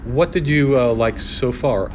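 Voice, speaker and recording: A man's voice: mid-range voice, measured pace. Man interviewing casually amid venue noise. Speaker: roving podcast interviewer. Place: conference venue hallway. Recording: noisy ambience of a busy venue. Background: conference ambience.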